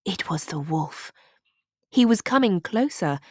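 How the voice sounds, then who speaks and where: Female read-aloud narrator for kids' storybook, animated intonation, deliberate slow pacing. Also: fairly low pitch, husky, no echo, clean studio sound.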